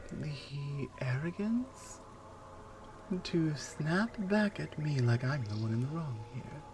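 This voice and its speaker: Woman acting a slow-speaking, commanding Amazonian warrior captor. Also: deep voice, a little mellow, a little hiss or hum, exaggerated voice. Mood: amused, condescending. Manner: slow, teasing, condescending, self-assured. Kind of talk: ASMR roleplay acting.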